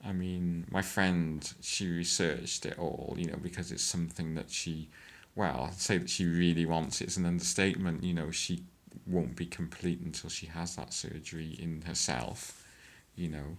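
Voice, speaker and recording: A woman, chatting hesitantly in a casual vlog update, with many fillers and frequent throat-clearing. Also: steady tone, moderate pace. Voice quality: deep voice. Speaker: vlogger.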